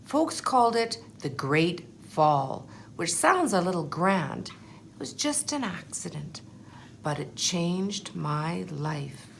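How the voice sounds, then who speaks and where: A woman voicing a humble, anxious but determined egg telling his life story in a storybook, in an earnest, measured way. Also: lowish voice, big pitch swings, vocal fry, slight background noise, heavily acted. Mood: modest. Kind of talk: storybook first-person narration.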